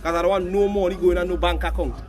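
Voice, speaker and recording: A high-voiced Grenadian man, hoarse from a cold, chats on a phone live stream. He jokes, teases his viewers and slips into sung lines, with faint music in the background. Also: steady tone, fast talker. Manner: joking, teasing viewers for money, bursts into sung lines. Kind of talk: casual live-stream chat.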